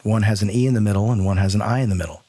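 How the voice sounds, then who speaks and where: A man's voice: on the low side, steady tone, racing, warm tone. Man hosting a casual, wordy podcast. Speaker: podcast host. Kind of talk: casual banter.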